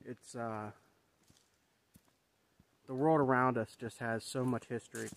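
A man's voice: mid-range voice, steady tone, measured pace, no room sound. A man in his thirties talks into a handheld camera as he walks along an overgrown forest road. His talk rambles and turns reflective, full of "you know" and restarts. The sound is open and outdoors. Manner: rambling, reflective, many fillers. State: walking while talking. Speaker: vlogger. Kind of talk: casual walking vlog. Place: outdoors on a wooded trail. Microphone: phone or camera held at arm's length.

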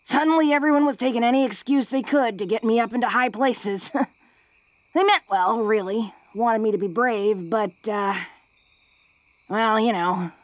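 A woman playing a big, tough young orc who is secretly awkward and tender, speaking haltingly and intimately to the listener in a romantic audio roleplay. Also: fairly high pitch, dry recording, natural voice. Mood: candid, wry. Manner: bashful, halting, breaking into soft chuckles. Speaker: voice actor.

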